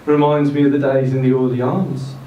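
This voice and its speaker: A man's voice: medium pitch, flat delivery, a little fast, warm tone, dull audio, close to an everyday voice. A man voicing a teasing, affectionate woman, a dead singer working as a barmaid, in a live story reading. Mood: cheerful. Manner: chummy, teasing. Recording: roomy distant live-event recording, noisy.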